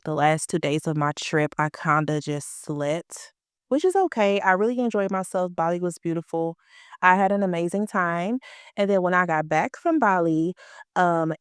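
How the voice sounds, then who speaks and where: A woman's voice: deep voice, smooth, no room sound, studio quality. A woman in her early thirties hosting a motivational podcast, talking in a chatty, emphatic, slangy way, a little stuffy from a cold. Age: 30s. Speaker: podcast host. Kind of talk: casual motivational podcast talk.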